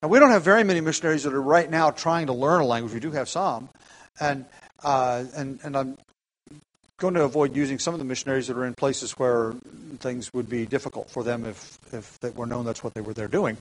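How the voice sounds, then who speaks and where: A man, a pastor, preaching a sermon in a halting way, with many restarts and light chuckles. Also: mid-range voice, rough recording.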